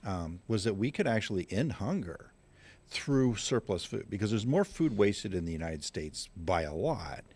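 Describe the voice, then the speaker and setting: A man in his sixties, a guest on a radio show, explaining things in a measured, clear way. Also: lowish voice, very animated, darkish tone. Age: 60s. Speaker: guest, food bank chief executive. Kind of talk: radio interview answers.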